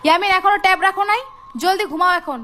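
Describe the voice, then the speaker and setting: A woman's voice: extremely high pitch, rapid-fire, sharp tone, a little hiss or hum. A woman voicing a feisty, scolding young cartoon mother with a Bangladeshi accent and a bossy, argumentative way of talking. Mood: bossy, impatient. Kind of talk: comic cartoon dialogue. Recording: music behind the voice.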